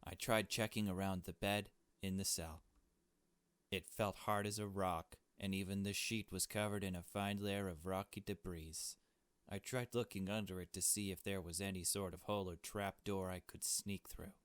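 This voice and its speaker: An earnest young captive from a visual novel, acted out by a man, frightened and trapped. The voice sits fairly low and is recorded dry and close. The delivery is halting and pleading, read as dramatic game dialogue. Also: steady tone, fairly bright tone, smooth, dry recording. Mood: hushed narration.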